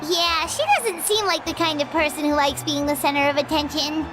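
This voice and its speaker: A woman voicing a tiny, childlike fairy companion in a video game, squeaky, earnest and curious, with music behind her. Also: steady tone, brisk, close to an everyday voice. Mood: thoughtful. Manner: refers to herself by name, exclaims eagerly. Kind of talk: animated game voice acting.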